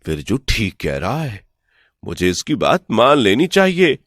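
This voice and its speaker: Man playing an excitable, gullible village priest. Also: lowish voice, measured pace, dry recording, studio quality, exaggerated voice. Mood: pondering. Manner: excitable, credulous, questioning. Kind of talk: animated Hindi folk tale voice acting.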